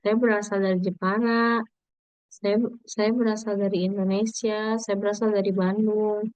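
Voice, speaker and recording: A woman teaching a language class, saying model sentences slowly and clearly for students to repeat, then explaining them. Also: medium pitch, level tone, darkish tone, no room sound, poor audio. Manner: slow, deliberate model sentences for learners to repeat. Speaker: Mandarin teacher. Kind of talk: language lesson, explaining in Indonesian.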